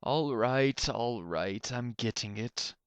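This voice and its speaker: A man's voice: mid-range voice, expressive, takes it slowly, smooth, dry recording. A man voicing an easygoing, teasing male pegasus pony in a story, casual and lightly amused. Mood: mock annoyed.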